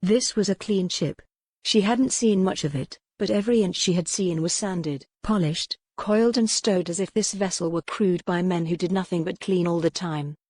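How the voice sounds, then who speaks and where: A woman's voice: on the low side, normal speed, darkish tone, dry recording. A woman reading historical romance fiction as a scripted audiobook narration.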